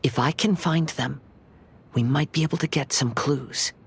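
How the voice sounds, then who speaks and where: A man playing a calm, polite young wandering swordsman in a video game, speaking gently and with measured care. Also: fairly high pitch, vocal fry, breathy edge, heavily acted. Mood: polite, composed.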